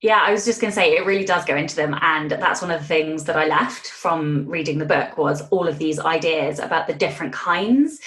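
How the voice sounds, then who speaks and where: A woman's voice: deep voice, a little fast, hardly any pauses, a bit bright. A woman hosting a podcast interview in a chatty style. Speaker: guest podcast host.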